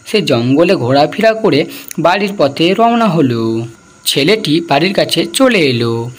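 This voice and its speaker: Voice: mid-range voice, very animated, measured pace. A narrator reading a scripted folk tale for a cartoon.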